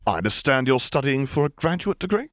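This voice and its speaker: A man's voice: mid-range voice, very animated, brisk, fairly bright tone, no room sound, studio quality, pitched above the performer's own voice. A man voicing a reserved middle-aged naval officer with formal, polite phrasing, in an audiobook story. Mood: polite, uneasy.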